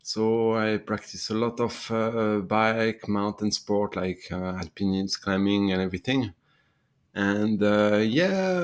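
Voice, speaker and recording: French man, halting, low voice. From France. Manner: halting, searching for words in a second language. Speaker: guest adventurer. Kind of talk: casual interview chat.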